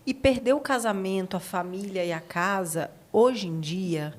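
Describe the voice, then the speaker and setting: A woman's voice: mid-range voice, unhurried. A Brazilian woman with a mid-range voice speaks as a podcast host. Her style is conversational, restating and affirming the points made to her. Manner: summarizes and affirms the guest's points. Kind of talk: conversational interviewing.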